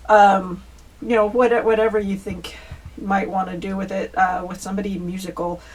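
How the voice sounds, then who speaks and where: A woman's voice: medium pitch, flat delivery, moderate pace. A woman hosting a casual step-by-step craft tutorial, chatty and informal as she talks to viewers. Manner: chatty, informal, addresses viewers as you guys. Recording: distant mic, faint background noise.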